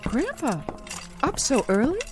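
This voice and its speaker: An actress playing a caring, patient granddaughter in a radio drama, gentle but firm in how she talks. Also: high-pitched, big pitch swings, unhurried, over music. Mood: patient, concerned.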